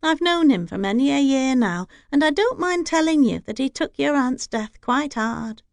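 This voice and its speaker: A woman's voice: high voice, animated, moderate pace, creaky, dry recording, clean studio sound, pitched above the performer's own voice. A woman voicing an older housekeeper who is formal and deferential to her lord. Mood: earnest. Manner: deferential to the lord, formal and old-fashioned speech. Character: older female housekeeper, devoted, stiff with outsiders.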